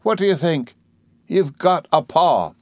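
A man's voice: medium pitch, lively intonation, moderate pace, no echo, voice pushed up, natural voice. A man voicing a kindly, flustered, motherly housekeeper in an audiobook, agitated, affectionate and faltering. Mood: agitated, breathless. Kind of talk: audiobook character dialogue.

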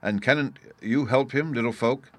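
A man's voice: fairly low pitch, sing-song, moderate pace, a bit bright. A man voicing a dignified, good-natured young army officer in a storybook, courteous, amused and jovial. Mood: gentle.

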